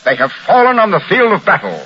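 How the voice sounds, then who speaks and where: An actor playing a pompous military-minded swindler in an old radio crime drama, speaking in formal, wordy phrases. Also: male voice, high voice, huge pitch swings, a little fast. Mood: smug, unruffled. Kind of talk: 1940s-50s radio crime drama acting. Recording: muffled, faintly noisy old radio recording.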